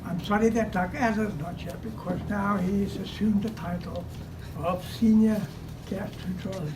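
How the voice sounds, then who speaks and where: A man's voice: high voice, fairly even intonation, moderate pace, background noise. An older man, a retiring physician, earnestly thanking colleagues and family in a party speech. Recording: distant mic with loud music.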